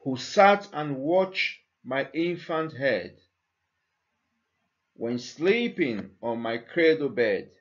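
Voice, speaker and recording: A man reciting poetry slowly and deliberately, with measured pauses between phrases. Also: mid-range voice, animated, muffled recording. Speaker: church member reading a poem.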